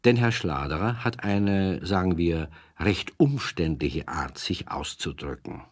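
Deep, husky storyteller. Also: male voice, lively intonation, a little slow, dry recording. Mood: amused storytelling. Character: wry male storyteller. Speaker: narrator. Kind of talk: storytelling narration for children's audio drama.

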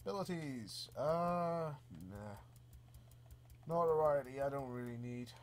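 A man, stuffy from a cold, casually commenting on his own gameplay with relaxed, easily amused remarks and mild swearing. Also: mid-range voice, slow, a little hiss or hum. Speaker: gaming channel host. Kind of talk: casual let's play commentary.

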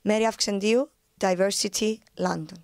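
A woman student reporter closing a news piece in an even, measured voice. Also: mid-range voice, no echo, studio quality. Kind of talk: news sign-off.

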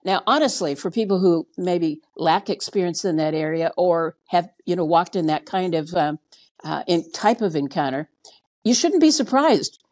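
Woman, sixties, slightly low pitch, pastor guest, conversational testimony, emphatic phrasing. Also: lively intonation, normal speed, a little mellow. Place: indoor room on a video call.

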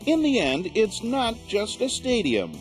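A man's voice: high-pitched, wildly swooping pitch, measured pace. A man narrating a scripted sports feature in a dramatic, sweeping way. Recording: voice-over with music.

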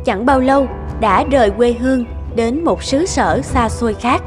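Woman, cartoon storyteller, scripted narration, fairly high pitch, prominent background music. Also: moderate pace. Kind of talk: scripted fairy-tale narration.

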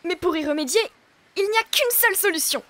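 A woman voicing a bubbly, friendly young girl character in a French puppy cartoon, perky and expressive. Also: very high voice, fast talker. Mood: encouraging. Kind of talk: French animated series dub. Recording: background music under the voice.

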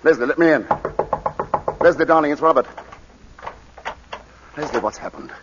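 An actor playing a devoted husband, tender and soothing, in 1940s radio acting. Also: male voice, on the high side, lively intonation, moderate pace, music in the background, natural voice. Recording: muffled radio broadcast with clicks and hiss.

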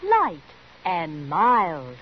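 American female commercial announcer: unhurried, lively intonation. Muffled vintage radio sound. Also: fairly low pitch, husky. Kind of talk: 1950s radio advertising pitch. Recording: dull, slightly noisy 1950s radio recording.

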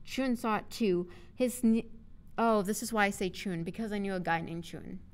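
A woman's voice: medium pitch, normal speed. A woman voice actor reading scripted audio drama narration, with casual chat between takes.

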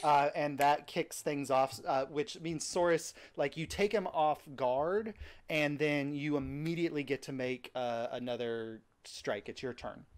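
A man's voice: a little high, unhurried, smooth. A man in his thirties runs a tabletop game from a headset over a video call. He rambles through the scene, stacking fillers and restarting his sentences as he narrates. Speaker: dungeon master. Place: small home room.

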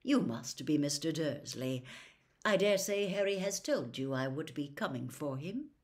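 A woman voicing a pleasant, calm old male wizard with formal, measured, polite speech in a storybook reading. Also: low voice. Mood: courteous, unruffled.

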